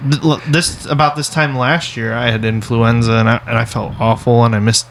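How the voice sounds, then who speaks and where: A man co-hosting a podcast in casual chat, easygoing and halting as he searches for words. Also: mid-range voice, flat delivery, gravelly, a little hiss or hum. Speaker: podcast co-host.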